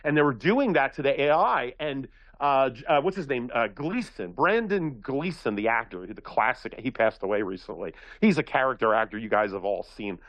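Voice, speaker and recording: Animated rambling man from Philly. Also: medium pitch, normal speed, few pauses, no room sound, lo-fi sound. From Philadelphia. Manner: rambling, animated storytelling with many restarts. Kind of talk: casual talk-radio chat.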